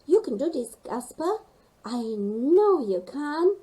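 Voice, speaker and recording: Woman voicing a lively, high-pitched young penguin girl. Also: slow, heavily acted. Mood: encouraging. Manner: excitable, encouraging. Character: cheerful bold young female penguin, encouraging first mate.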